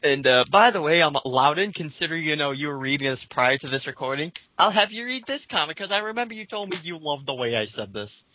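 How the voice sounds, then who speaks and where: A man co-hosting a casual reading of online comments, arguing in a halting, indignant way. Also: a little high, expressive, bright, forceful tone, a little hiss or hum. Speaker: co-host reading and reacting to comments.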